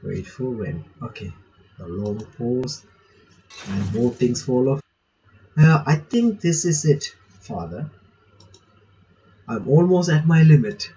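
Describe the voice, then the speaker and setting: Muffled, mellow man doing casual game commentary. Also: medium pitch, lively intonation, slow, pauses often. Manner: informal, reacts aloud between read lines. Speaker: reaction channel host playing a visual novel. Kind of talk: casual playthrough commentary, reading game text aloud. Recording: muffled lo-fi mic with faint noise.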